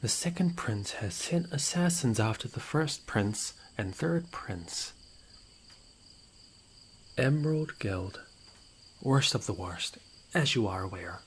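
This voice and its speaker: A man's voice: medium pitch, lively intonation, slow pace, long pauses, a little hiss or hum. A man playing a smug, taunting rogue informant in a fantasy audio roleplay, with a mocking, theatrical drawl. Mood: bored, sly. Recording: outdoor night ambience with crickets behind. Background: crickets and night nature ambience.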